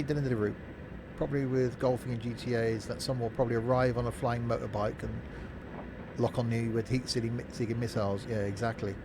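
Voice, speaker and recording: A man with a mid-pitched voice talks off the cuff as a game streamer. He is informal and jokey, drifting between commentary and replies to his chat. A steady bed of noise and vehicle rumble sits clearly behind his microphone. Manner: informal, jokey, chatty asides. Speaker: live streamer playing a train simulator and chatting with viewers. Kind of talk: casual live game commentary, replying to chat. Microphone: streaming microphone through a mixing desk.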